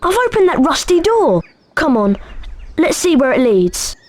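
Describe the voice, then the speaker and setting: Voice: high-pitched, very animated, a little slow, very noisy. A performer playing an eager, cautious, chatty young schoolboy wizard in a video game. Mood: excited curiosity. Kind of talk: video game character acting.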